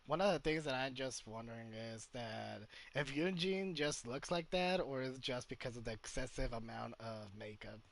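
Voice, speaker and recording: A man giving casual gameplay commentary, speaking haltingly as he searches for words. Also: medium pitch, animated, vocal fry, slight background noise. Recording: loud game music behind voice. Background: loud game music.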